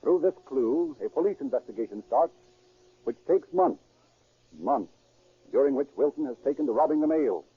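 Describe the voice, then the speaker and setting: A man's voice: medium pitch, expressive, moderate pace, breathy edge, no echo. A man narrating a true crime radio drama in a dramatic 1930s broadcast style. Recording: dull, muffled 1930s radio recording.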